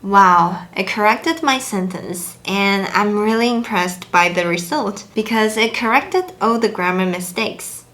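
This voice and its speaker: A young woman, a native Chinese speaker, hosting a language lesson video and explaining clearly in an upbeat, easily impressed way. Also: mid-range voice, measured pace, hardly any pauses, a bit bright, faint music behind. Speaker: language teacher and channel host.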